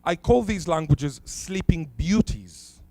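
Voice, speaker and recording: A man whose first language is Hebrew, giving a conference talk in a lecture hall, posing rhetorical questions and stressing key words deliberately. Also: fairly high pitch, expressive, a little mellow. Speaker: conference speaker.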